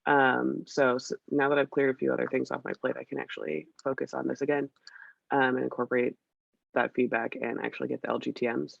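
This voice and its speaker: A woman's voice: rumbling low, little pitch movement, a little fast, gravelly, no echo. A woman with a scratchy voice from a cold, giving a chatty, hesitant update with many fillers on a video call. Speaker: meeting presenter. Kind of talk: casual work meeting update. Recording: dull, dry video-call audio.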